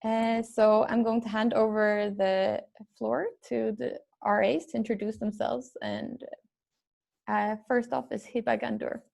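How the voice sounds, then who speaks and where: A woman in her twenties from Sweden, a medical student moderating a video call panel and introducing speakers haltingly. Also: on the high side, level tone, creaky, darkish tone.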